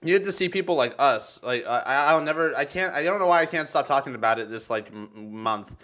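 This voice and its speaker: A young man hosting casual livestream banter, excitable and peppered with profanity. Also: mid-range voice, brisk. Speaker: podcast host.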